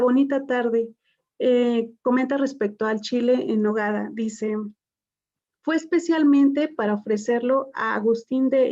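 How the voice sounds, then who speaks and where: A woman's voice: on the high side, warm tone, dull audio. A woman moderating a panel on a video call, reading out viewer comments with hesitant fillers.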